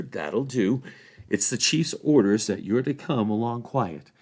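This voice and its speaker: A man's voice: mid-range voice, creaky, a little mellow, voice pushed up. A man reading a storybook as a self-important, nervous hobbit sheriff, speaking in an officious, rustic way.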